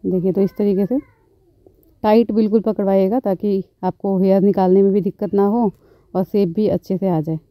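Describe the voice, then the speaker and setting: A woman beautician calmly explaining eyebrow threading step by step, as she works, often repeating her phrases. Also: mid-range voice, normal speed, no echo. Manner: calm, instructive, repeats phrases. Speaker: beautician, channel host. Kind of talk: step-by-step tutorial narration.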